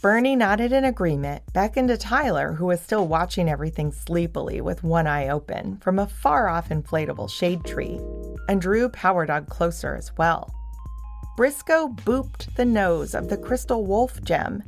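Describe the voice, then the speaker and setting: A woman narrating a scripted children's audio story in an expressive storytelling manner. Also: fairly low pitch, normal speed, gravelly, faint music behind.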